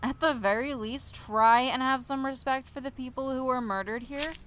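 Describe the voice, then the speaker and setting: High-voiced hot-tempered trainee played by a woman. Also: normal speed, a bit bright, a little hiss or hum. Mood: angry, scolding. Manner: scolding, blunt. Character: hot-tempered, foul-mouthed young trainee. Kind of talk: scripted audio drama dialogue.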